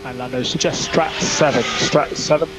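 A man's voice: mid-range voice, steady tone, moderate pace, over music. A man working as a race engineer, giving terse, clipped technical instructions over team radio. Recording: noisy motorsport team radio.

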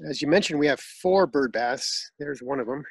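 Man, fairly high voice, casual garden tour, outdoors, dry close phone sound. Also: moderate pace, husky, dry recording, low-quality recording. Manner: relaxed, informative, some fillers and restarts. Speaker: garden owner giving a tour.

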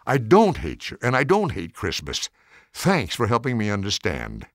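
Older man reading as an earnest, animated young girl. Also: lowish voice, normal speed, dry recording, studio quality. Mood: remorseful.

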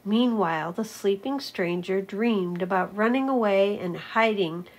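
A woman reads a picture book aloud for children. Her delivery is slow and measured, with a medium pitch and a slightly husky voice. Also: poor audio. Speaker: teacher reading aloud. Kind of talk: children's storybook narration.

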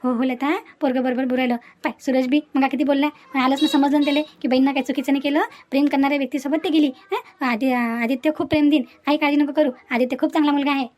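A woman's voice: high voice, steady tone, fast talker, hardly any pauses, a little mellow, natural voice. A woman voicing an old, sensible grandmother in a cartoon family melodrama, folksy and persuasive, with a Vidarbha Marathi accent. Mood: reassuring.

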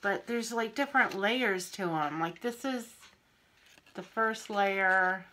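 A middle-aged woman from Pennsylvania, a little wheezy and often clearing her throat, chatting through a craft haul on camera in her home kitchen. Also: mid-range voice, bright, forceful tone, a little hiss or hum. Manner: chatty, unhurried, friendly show-and-tell. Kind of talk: casual haul video. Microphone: camera in front at arm's length.

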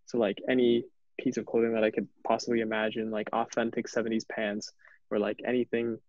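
A man's voice: fairly low pitch, completely flat delivery, a little fast, a little mellow, dry recording. A young man thinking aloud informally as a panelist on a video call. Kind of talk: casual chat. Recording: dull video call audio.

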